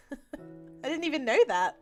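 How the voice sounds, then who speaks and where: A woman's voice: high voice, very animated, measured pace, faint music behind. A young woman chatting casually while she streams a game, with lots of ums and easy laughs. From Australia. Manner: chatty, many ums and restarts, laughs easily. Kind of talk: casual live-stream chat while playing.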